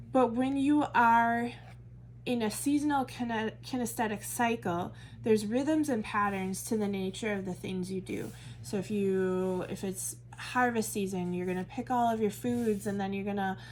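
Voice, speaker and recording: A woman's voice: mid-range voice, a little hiss or hum, rough recording. A Midwestern American woman leading a Bible study, talking casually and thinking aloud with fillers and self-corrections. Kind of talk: casual teaching talk to camera.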